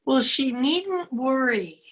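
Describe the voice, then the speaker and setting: A woman's voice: high voice, animated, slow. A woman in a radio play voicing an eager, carefree young boy, a little brother sent on errands. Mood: eager, reluctant.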